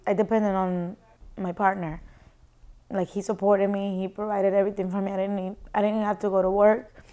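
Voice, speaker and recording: A young woman recounts her experience in a quiet, halting way, often restarting. Her voice is smooth and clear but stays very flat in pitch. She wears a lapel mic in a home room. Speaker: shelter client. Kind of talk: personal interview testimony.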